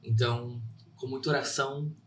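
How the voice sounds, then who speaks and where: A man's voice: mid-range voice, steady tone, moderate pace, far from the mic, a little hiss or hum. A young man from São Caetano, a theater actor who speaks as a guest in an earnest, heartfelt way. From São Caetano, Brazil. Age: young adult. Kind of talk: interview answers. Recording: roomy sound, a bit off-mic.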